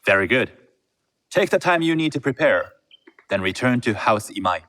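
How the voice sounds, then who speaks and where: An actor playing a shrewd, composed merchant heir in a game set in feudal Japan, speaking in a measured, formal way. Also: male voice, medium pitch, big pitch swings, sharp tone. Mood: measured, businesslike. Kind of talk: video game cutscene acting.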